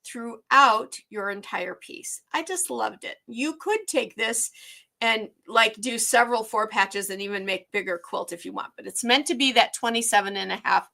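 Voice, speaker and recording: Warm-up host for a craft stream: woman, a little hoarse, upbeat, filler-heavy, bright tone. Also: a little high, normal speed. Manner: chatty, upbeat, frequent ums. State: slightly hoarse. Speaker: quilting workshop host. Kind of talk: live online quilting tutorial.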